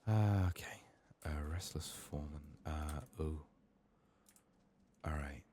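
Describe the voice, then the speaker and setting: Very deep, soft-spoken male gamer. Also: slow pace, slight background noise. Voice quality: soft, hushed, breathy in whispers. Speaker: gaming streamer. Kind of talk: soft-spoken ASMR game playthrough, often whispering.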